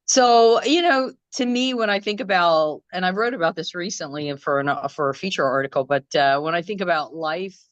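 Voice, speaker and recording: A woman musician from Croatia, answering interview questions in a casual chat, thoughtful and rambling with many pauses and restarts. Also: on the low side, no echo, highs cut off. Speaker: musician guest. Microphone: video call.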